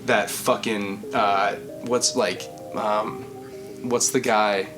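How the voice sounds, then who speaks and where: Young man with a deep, projecting voice. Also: steady tone, drawn-out words, music in the background. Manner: rambling, swears freely. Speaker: vocalist and podcast host. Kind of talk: casual chat.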